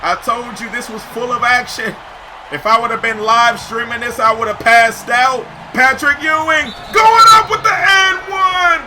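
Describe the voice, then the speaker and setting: A man giving excited, slangy live commentary over basketball video game play, shouting at big plays, his voice getting hoarse. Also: extremely high pitch, measured pace, a little hiss or hum. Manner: slangy, says "yo" often. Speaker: gaming YouTuber.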